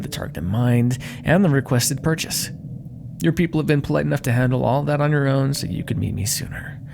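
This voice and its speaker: A man's voice: mid-range voice, vocal fry, noisy background. A man playing a proud, menacing demon dealmaker in an ASMR roleplay, theatrical and condescending, coaxing with whispered asides.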